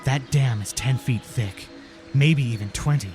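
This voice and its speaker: A man's voice: medium pitch, unhurried, a little mellow, soft background music, natural voice. A man playing a grim, determined young hero in an audio drama, speaking in a pressing way. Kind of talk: cinematic audio drama acting.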